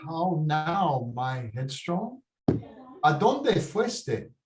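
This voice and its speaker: A man's voice: on the high side, big pitch swings, very slow, heavily acted. A man playing a bossy, hot-tempered but doting Mexican patriarch at a table read, exasperated, swearing and slipping into Spanish. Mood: relieved, fond. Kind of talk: table read of a comic Shakespearean-style play. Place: indoor room, on a video call. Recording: roomy echoing video-call audio.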